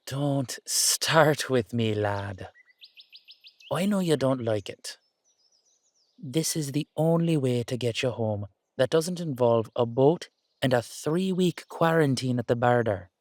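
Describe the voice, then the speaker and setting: A man's voice: mid-range voice, animated, takes it slowly, darkish tone, no room sound. Coaxing Irish dullahan, dry close recording acted by a man. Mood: exasperated. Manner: conversational, coaxing, teasing, slangy. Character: exasperated, affectionate Irish dullahan rider talking to his horse. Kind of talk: solo roleplay audio drama.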